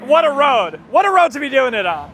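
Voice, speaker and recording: A man's voice: very high voice, big pitch swings, brisk, background noise. A man vlogging at a car week, enthusiastic and exclaiming over the cars he spots. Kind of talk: excited car-spotting vlog.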